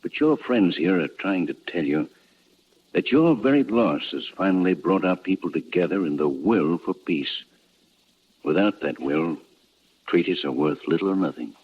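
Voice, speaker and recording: An actor playing a dignified army general in a 1950s radio drama, speaking in formal, oratorical phrases. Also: male voice, sits low, lively intonation, moderate pace, breathy edge. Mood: solemn. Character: solemn, dignified army general offering condolences. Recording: tinny, band-limited vintage radio broadcast.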